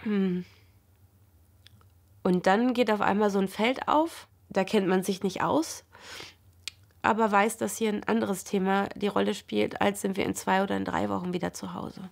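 A young adult woman gives a personal interview as a mother. Her voice sits at a middle pitch and carries a clear creak as she recalls what she lived through. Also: steady tone, normal speed. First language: German. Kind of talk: personal documentary interview recollection.

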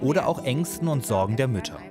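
A man reading scripted narration for a television news report in a measured, informative way. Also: mid-range voice, lively intonation, music in the background.

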